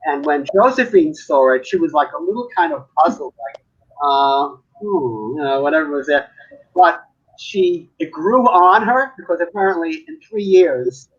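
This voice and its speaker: A man's voice: fairly high pitch, expressive, moderate pace, highs cut off. A man from Manhattan, an artist chatting casually on a live video call and telling stories with frequent laughs. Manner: chatty storyteller, laughs often. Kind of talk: casual live-stream chat.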